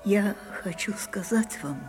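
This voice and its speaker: Radio drama role for a woman: older, theatrical grande dame, nostalgic, slow and measured, sinking towards breathy softness, light music behind. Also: mid-range voice, close to an everyday voice. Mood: wistful, grand. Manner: measured, dramatic pauses, falls to a breathy whisper. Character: Sarah Bernhardt, grand wistful ageing actress, theatrical and nostalgic. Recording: 1980s radio play with faint music.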